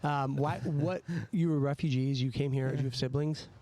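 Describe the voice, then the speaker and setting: A man hosting a casual interview, speaking in a halting, rambling way and often restarting his thoughts mid-sentence. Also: mid-range voice, steady tone, mellow, a little hiss or hum. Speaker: podcast host.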